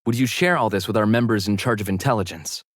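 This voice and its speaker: A man's voice: fairly low pitch, fast talker, no echo, studio quality, lightly acted. A man voicing a blunt, skeptical investigator in a visual novel dub, questioning in a pointed, sardonic way. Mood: pressing, incredulous. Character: skeptical, blunt male investigator interrogating a witness. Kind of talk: visual novel English fan dub, dramatic dialogue. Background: music.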